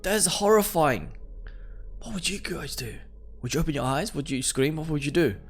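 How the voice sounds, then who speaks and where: A man's voice: fairly high pitch, normal speed. A man hosting a let's play, reading game text aloud and reacting in casual slang to his viewers. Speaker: gaming video host. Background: music.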